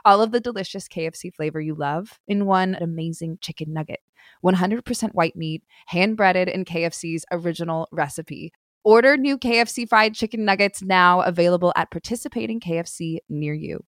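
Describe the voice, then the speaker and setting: A woman's voice: on the low side, a little fast, no room sound, clean studio sound. A woman reading a podcast ad in an upbeat, friendly way. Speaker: ad reader. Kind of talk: podcast ad read.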